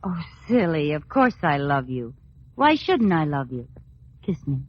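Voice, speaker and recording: An actress playing a bubbly, teasing, affectionate suburban housewife in an old radio comedy, with a playfully wry, animated manner. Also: female voice, deep voice, measured pace, heavily acted. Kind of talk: 1940s-50s radio comedy acting. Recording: muffled vintage radio recording.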